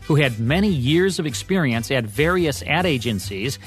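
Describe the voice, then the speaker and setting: A man's voice: medium pitch, animated, normal speed. An American man hosting a show, reading scripted radio history with polished, announcer-like delivery. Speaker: podcast host. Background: music bed.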